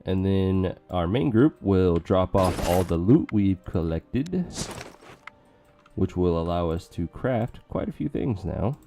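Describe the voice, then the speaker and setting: A man casually commentating a strategy game with joking asides, his voice going hoarse. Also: deep voice, animated, takes it slowly, mellow, soft background music. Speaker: let's play commentator.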